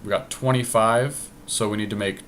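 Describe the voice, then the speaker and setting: Bright-voiced, low-pitched man thinking aloud. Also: steady tone, moderate pace, a little hiss or hum. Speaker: podcast host playing a card game draft. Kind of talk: casual strategy commentary while drafting.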